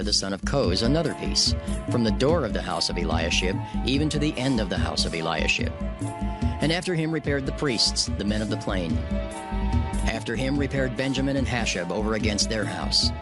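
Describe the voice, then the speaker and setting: Solemn male scripture narrator over music. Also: lowish voice. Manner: measured, solemn reading cadence. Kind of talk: scripted dramatized scripture reading. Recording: loud background music under the voice.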